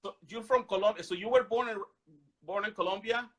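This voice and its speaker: Bright-voiced male host. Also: high voice, brisk. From Mexico. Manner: chatty, loose grammar, prompting with questions. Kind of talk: casual talk-show hosting.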